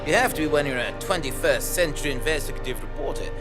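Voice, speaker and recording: An actor playing a pompous, self-regarding investigative journalist narrating a scripted comic audio drama. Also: male voice, a little high, animated, fast, over loud music, lightly acted. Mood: self-important. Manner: pompous, theatrical.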